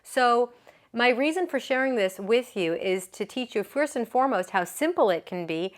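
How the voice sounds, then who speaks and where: A woman's voice: on the high side, animated, moderate pace. Chatty, earnest woman yoga coach. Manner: chatty and earnest, often asking "right?". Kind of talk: motivational talk to camera.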